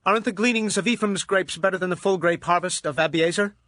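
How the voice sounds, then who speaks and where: A man playing a stern, battle-weary Israelite war leader in a dramatized audio Bible, with forceful, declamatory delivery. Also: sits high, fast, dry recording, studio quality. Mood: placating.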